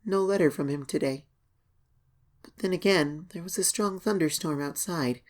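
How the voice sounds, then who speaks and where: A woman voicing an anxious young pony filly, reading her diary as an expressive, hesitant horror story narration. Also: lowish voice, moderate pace, close to an everyday voice. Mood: worried. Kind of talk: dramatic first-person horror story reading.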